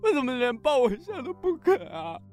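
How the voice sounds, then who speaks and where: A woman's voice: high voice, big pitch swings, brisk, darkish tone, soft background music. Woman acting pleading, neglected child. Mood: hurt, desperate. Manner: pleading, emotional. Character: hurt, neglected child pleading for mother's affection. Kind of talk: amateur radio drama acting.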